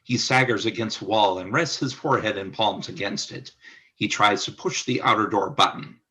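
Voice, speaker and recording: A man's voice: lowish voice, moderate pace, pushed and bright. A man reading scripted narration for a radio play as its narrator.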